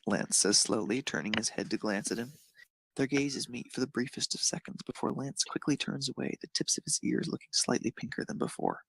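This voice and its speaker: Male narrator for fan fiction, mid-pitched with a rough, creaky edge, scripted read. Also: fairly quick, fairly bright tone, no room sound, low-quality recording.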